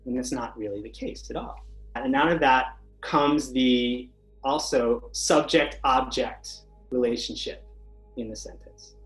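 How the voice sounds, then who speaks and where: A man with a mid-range voice explains his ideas about language as an interview guest. He speaks in a measured, explanatory way, and faint music runs beneath him. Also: mid-range voice, poor audio. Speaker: podcast guest, language researcher. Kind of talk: conversational interview.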